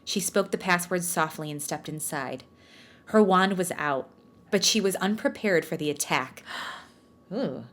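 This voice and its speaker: Lower-voiced woman reading aloud, joking along. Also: measured pace, fairly bright tone. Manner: jokey, self-mocking, bantering. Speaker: podcast host reading fan fiction aloud. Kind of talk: storybook-like read-aloud with casual joking asides.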